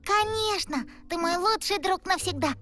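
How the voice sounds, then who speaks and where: A performer voicing a small young male mole in a children's cartoon, speaking in a gentle, earnest, childlike way. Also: very high-pitched, moderate pace. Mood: concerned, caring. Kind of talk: dubbed children's animated cartoon.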